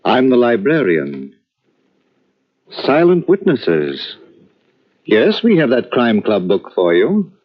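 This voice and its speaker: An actor playing a welcoming librarian who hosts a crime radio show and introduces its story. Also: male voice, mid-range voice, wildly swooping pitch, takes it slowly, long pauses, full character voice. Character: genial male librarian host of a crime club. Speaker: host. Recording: muffled old radio broadcast with faint hiss.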